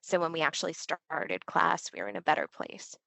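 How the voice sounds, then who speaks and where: A woman's voice: fairly low pitch, expressive, brisk, gravelly, pushed and bright, no echo. A woman, a Marine veteran linguist, talking candidly as a podcast guest, with loose fillers. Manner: candid and chatty, with filler words. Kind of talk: casual interview chat.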